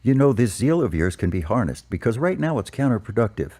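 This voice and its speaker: A man playing a patient priest giving measured, explanatory counsel in an amateur audio drama. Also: medium pitch, sing-song, darkish tone.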